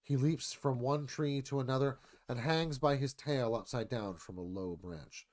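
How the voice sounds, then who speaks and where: A man's voice: mid-range voice, moderate pace, darkish tone. A man reading screenplay stage directions aloud as scripted narration.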